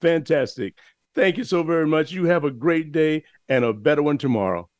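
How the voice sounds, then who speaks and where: A man's voice: fairly high pitch, moderate pace, a little mellow, no room sound. A man hosting a radio talk show, interviewing a guest in a friendly way. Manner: friendly, wraps up with thanks.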